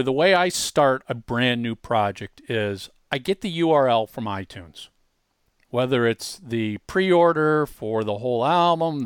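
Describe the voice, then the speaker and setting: Man giving a casual, dry-sounding software walkthrough. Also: medium pitch, clear, resonant tone, no room sound. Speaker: presenter demonstrating a web tool.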